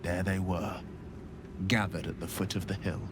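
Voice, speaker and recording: An actor playing an earnest, solemn young nobleman adventurer bound by a devil's pact, telling things in a measured way for a video game. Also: low voice, steady tone, very noisy, close to an everyday voice. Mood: solemn recollection. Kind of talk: video game dialogue acting.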